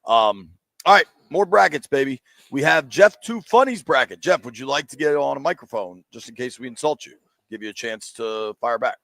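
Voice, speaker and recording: A man's voice: medium pitch, animated, normal speed, creaky, a bit bright, no echo. A man hosting a live sports radio show, joking and teasing while running through bracket picks. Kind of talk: casual sports radio banter. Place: sports bar, live broadcast.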